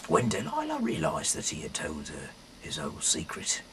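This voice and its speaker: A man reading scripture aloud in a halting, rambling way, often correcting himself and dropping in casual asides. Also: very deep voice, huge pitch swings, a bit bright, slight background noise. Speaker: amateur reader of a Bible audio series.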